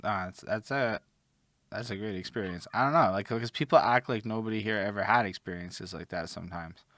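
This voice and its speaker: A man's voice: on the low side, fairly quick, a bit bright, dry recording, clean studio sound. A man hosting an interview, asking casual questions and thinking aloud with some slang. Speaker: podcast host, interviewer.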